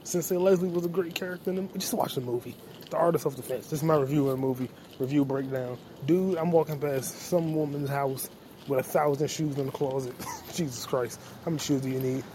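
A man's voice: on the high side, a little fast, mellow, slight background noise, rough recording. A young man hosting a podcast on his own, rambling, joking and cursing freely while he walks outside at night. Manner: profane, loose, joking. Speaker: podcast host. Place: outdoors on a quiet street.

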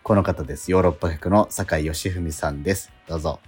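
Deep, husky man chatting on radio. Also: quick pace, soft background music. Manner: relaxed, rambling, self-deprecating. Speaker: radio host. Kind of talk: casual radio chat.

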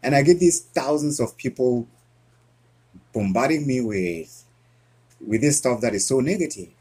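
Earnest Cameroon-born male guest, husky, halting delivery. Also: mid-range voice, lively intonation, a little mellow. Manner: earnest, halting with frequent restarts. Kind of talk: casual interview conversation.

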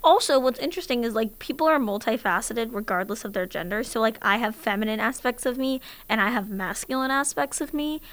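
A raspy-voiced young woman on a podcast, direct and assertive in what she says. Her voice sits a little high, with a rough edge running through it. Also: lively intonation, brisk, few pauses, a bit bright. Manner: straightforward, assertive, laughs often. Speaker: podcast co-host. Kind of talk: casual podcast chat.

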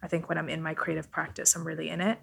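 Low-voiced woman chatting informally, native Italian speaker. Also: monotone, rapid-fire, gravelly, a little hiss or hum. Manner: informal, thinking aloud. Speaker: podcast guest. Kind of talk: casual chat.

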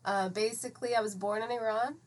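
A woman in her thirties from the United States, talking reflectively and in measured explanations as a guest in a conversational interview. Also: a little high, steady tone. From United States (Atlanta, Georgia). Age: 30s. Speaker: podcast guest, psychiatric pharmacist.

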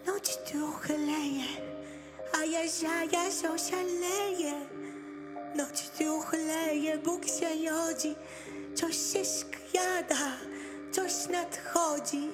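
A woman playing a frightened female dog who chants dark prophecies in drawn-out rhyme in an audio drama. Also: very high-pitched, full character voice. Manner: incantatory, drawn-out rhymed declaiming. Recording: music behind the voice.

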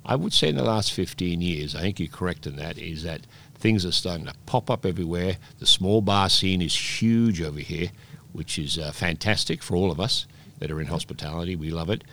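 A man in his sixties, raised in Peru, telling rambling stories with many restarts as a guest in a relaxed interview. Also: low voice, brisk, gravelly. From Scotland, raised in Peru. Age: 60s. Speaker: guest, oyster bar operator.

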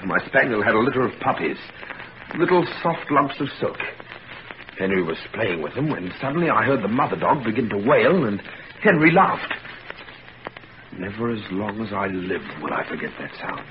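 A man's voice: lowish voice, sing-song, moderate pace, noisy background. A haunted, grave father. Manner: hushed, grave, dramatic. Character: anguished middle-aged father, haunted by his son. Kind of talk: 1940s radio acting. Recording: dull old radio recording.

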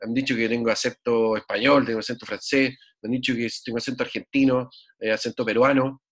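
A man's voice: medium pitch, fairly even intonation, fast, fairly bright tone. A man from Chile with a Chilean accent, talking casually as an interview guest, rambling and repeating words. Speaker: guest musician.

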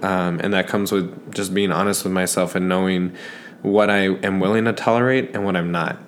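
Low-pitched young man, casual podcast chat. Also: fairly even intonation, moderate pace, a little hiss or hum. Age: 20s. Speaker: podcast host.

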